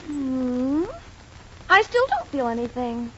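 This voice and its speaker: A woman's voice: high-pitched, very animated, takes it slowly, heavily acted. An actress playing a prim, girlish young sweetheart in 1940s radio comedy style. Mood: unimpressed. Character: prim, girlish, youthful sweetheart, scolding and naive. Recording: muffled, noisy 1940s radio recording with audience.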